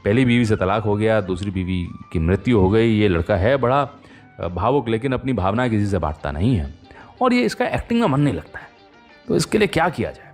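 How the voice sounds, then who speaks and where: A man hosting a radio show, telling stories in a chatty, conversational way with pauses and rhetorical tags. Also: on the low side, big pitch swings, soft background music. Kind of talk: conversational radio storytelling about old film music.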